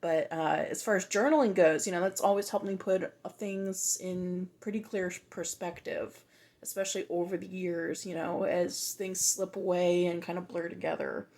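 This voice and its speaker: A young woman, twenty-five, talks through a personal video journal. Her voice sits a little low, and she pauses often and restarts her sentences as she thinks aloud. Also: fairly even intonation, a little mellow. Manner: reflective, hesitant, many ums and restarts.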